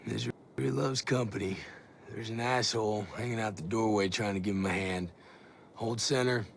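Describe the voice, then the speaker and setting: A man's voice: on the low side, steady tone, normal speed, creaky, background noise. An actor playing a wry sniper who is a father, in a video game cutscene, talking in a dry, sardonic way.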